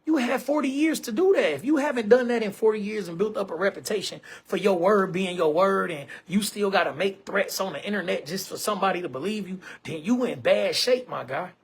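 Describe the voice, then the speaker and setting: A man's voice: high-pitched, fairly quick, gravelly, hardly any pauses, breathy edge. A man in his forties hosting an online show, talking casually to camera in an emphatic, repetitive way and often calling listeners bro and man. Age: 40s.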